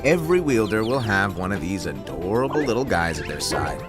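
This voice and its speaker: A whimsical fantasy mentor with a lowish voice and very lively intonation. He explains things casually and playfully, as in an animated film, with faint music behind. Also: a little fast. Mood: breezy, playful. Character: playful, breezy, eccentric male master teacher.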